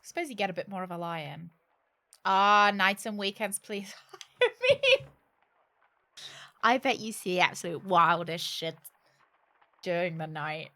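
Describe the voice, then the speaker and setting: A woman's voice: on the low side, animated, measured pace, long pauses, bright, forceful tone. A British woman chatting casually and thinking aloud to viewers as she streams a video game. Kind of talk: casual chat while playing a game.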